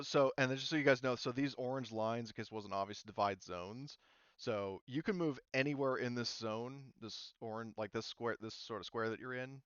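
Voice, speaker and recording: Smooth-voiced man running a tabletop game. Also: medium pitch, no room sound, muffled recording. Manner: hesitant, thinking aloud, informal swearing. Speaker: game master. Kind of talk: casual tabletop game refereeing, explaining rules.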